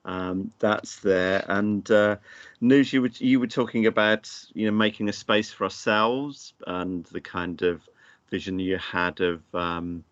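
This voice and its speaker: A man chairing a panel discussion, posing questions in a hesitant, halting way. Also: fairly low pitch, no room sound, muffled recording. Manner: hesitant, halting, many fillers.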